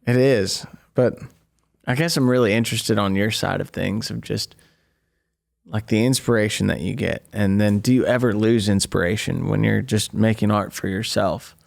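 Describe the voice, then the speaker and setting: A man's voice: fairly low pitch, moderate pace, gravelly. A young man hosting a casual chat, hesitant and full of fillers, laughing often. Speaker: musician, host. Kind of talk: casual conversation.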